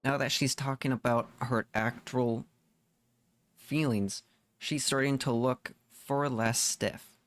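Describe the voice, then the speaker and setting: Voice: mid-range voice, fairly even intonation, takes it slowly. A game player reading dialogue aloud and reacting during a playthrough.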